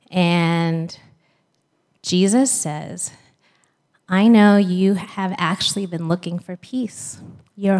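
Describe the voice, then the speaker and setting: A woman's voice: on the low side, darkish tone. A woman in her thirties from the United States, a pastor telling her faith story in a chatty, storytelling way with fillers and small laughs. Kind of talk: church talk, personal testimony.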